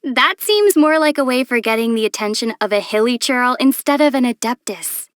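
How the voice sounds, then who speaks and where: Woman playing young adventurer girl, high voice, dry close recording, patient, thoughtful, dryly amused, game acting. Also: lively intonation, normal speed, no room sound, close to an everyday voice. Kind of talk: video game character voice acting.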